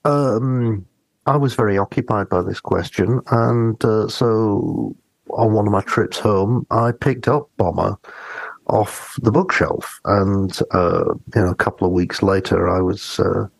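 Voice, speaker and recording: A man co-hosting a podcast, talking casually about books and telling personal anecdotes in a hesitant, reflective, halting way. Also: on the low side, gravelly, no echo, poor audio. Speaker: podcast co-host.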